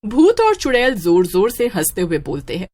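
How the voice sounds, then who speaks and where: A woman narrating a scripted horror story in a dramatic, expressive way. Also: mid-range voice, normal speed.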